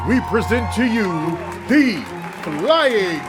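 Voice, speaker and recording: A man playing a grandiose circus ringmaster in an audio drama, with showy, drawn-out delivery. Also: high-pitched, sing-song, over music, a crowd in the background, exaggerated voice.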